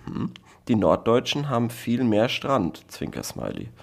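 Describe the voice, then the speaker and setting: A man's voice: mid-range voice, wildly swooping pitch, measured pace, creaky. Hesitant, blunt male podcast co-host. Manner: hesitant, many fillers, blunt joking. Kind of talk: casual chat. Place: Hamburg.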